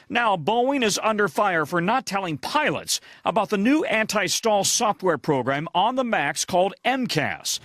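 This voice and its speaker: A man's voice: sits high, fairly bright tone, dry recording, clean studio sound. An American man working as a news correspondent, giving an emphatic, dramatic broadcast report.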